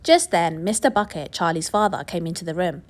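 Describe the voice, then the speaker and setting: A woman teacher reading a children's book aloud in a clear, expressive storytelling way. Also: lowish voice, fairly quick.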